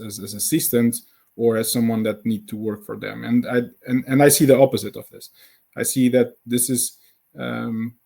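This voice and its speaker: Portuguese-accented man, halting and mellow. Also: medium pitch, gravelly. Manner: halting, many fillers and repeated words. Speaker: guest, founder of a sales community. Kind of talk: conversational podcast interview.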